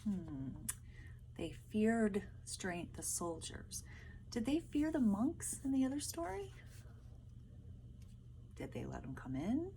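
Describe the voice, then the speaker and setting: A woman's voice: mid-range voice, sing-song, slow pace, long pauses, mellow, slight background noise, rough recording. A woman teacher speaking casually to pupils, explaining and reading a storybook aloud. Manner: explanatory, addressing pupils directly.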